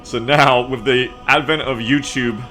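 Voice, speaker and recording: A man's voice: medium pitch, steady tone, measured pace, crowd noise. A man chatting casually as a game streamer, blunt and joking with some swearing.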